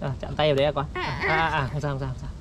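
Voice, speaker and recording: A man's voice: a little high, very fast. A young father, coaxing and reassuring his small child on a mountain trail. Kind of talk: casual family chat. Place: outdoor mountain hiking trail. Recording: steady outdoor background noise.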